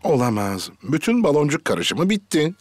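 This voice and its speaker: A man's voice: on the high side, very animated, moderate pace. A man narrating a children's cartoon in a scripted storytelling style. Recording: loud music behind the voice.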